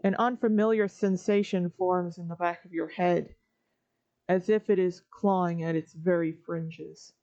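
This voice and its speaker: A woman's voice: fairly low pitch, steady tone, measured pace, warm tone, no echo. Woman narrating horror, slightly muffled. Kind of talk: scripted second-person horror story narration. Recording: dull recording with background music.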